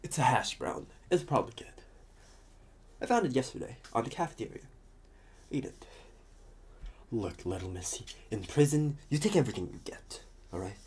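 A man playing a talkative, restless psychopath convict in an ASMR roleplay, protective and scheming, with halting, muttered asides. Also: medium pitch, sing-song, a little mellow, slight background noise, voice pushed down, close to an everyday voice. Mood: insistent, protective.

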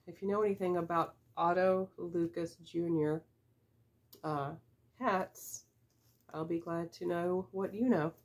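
A woman's voice: lowish voice, fairly even intonation, a little slow, a little mellow. A woman in her fifties with a stuffy head cold, chatting casually and unhurriedly as she unboxes items, with small laughs and fillers. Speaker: reseller vlogger. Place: small room with shelves of boxes, indoors. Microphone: camera at desk distance.

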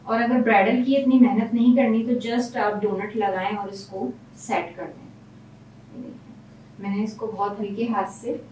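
A woman's voice: on the high side, a little mellow, roomy sound, far from the mic, slight background noise, low-quality recording. A woman hairstylist explaining each step of a hairstyle as she works in a salon. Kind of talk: hairstyling tutorial. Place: salon room.

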